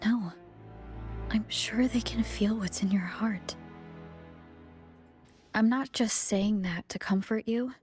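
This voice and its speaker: A woman playing a gentle young adventurer in a video game, speaking softly and earnestly to comfort someone. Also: medium pitch, slow pace, pauses often, lightly acted. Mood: gently reassuring. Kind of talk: English video game voice acting. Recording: game audio with music behind. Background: background music.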